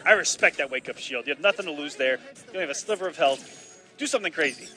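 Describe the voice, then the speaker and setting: A man doing live play-by-play commentary on a fighting game, excitable and bursting loud on big plays, his voice slightly raspy from long casting. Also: fairly high pitch, fast talker, a little hiss or hum. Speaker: esports commentator.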